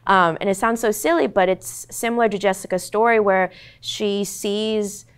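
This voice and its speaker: A woman's voice: medium pitch, normal speed, clean tone. A young woman actor in her twenties, whose first language was Korean, talking earnestly and reflectively on a panel with many "like"s and "um"s. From United States. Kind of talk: candid panel talk.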